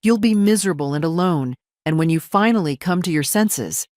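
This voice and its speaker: A woman's voice: lowish voice, lively intonation, a little fast, a little mellow, no echo, studio quality, close to an everyday voice. A woman voicing an angry, controlling younger daughter-in-law in an audio story, indignant and scolding, on the edge of panic. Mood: furious.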